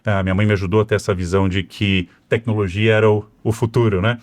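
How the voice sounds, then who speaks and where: A middle-aged Brazilian man with a São Paulo accent talks quickly and fluently in a conversational podcast interview, his voice sitting slightly low. The sound is close and dry, with no room echo. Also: little pitch movement. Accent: Brazilian Portuguese, São Paulo. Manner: fluent, conversational storytelling with frequent "né" tags. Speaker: cybersecurity executive and guest.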